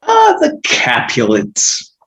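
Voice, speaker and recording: A woman's voice: extremely low pitch, wildly swooping pitch, takes it slowly, a bit bright, heavily acted. A woman in a comedic play reading, playing a scheming, exasperated parent with a theatrical, sarcastic delivery. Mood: strained politeness.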